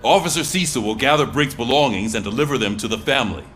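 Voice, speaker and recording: An actor playing a gruff, authoritative police sergeant giving orders in a video game. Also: male voice, medium pitch, animated, fast, fairly bright tone, a little hiss or hum, close to an everyday voice. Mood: stern, commanding. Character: gruff, commanding police sergeant, middle-aged man.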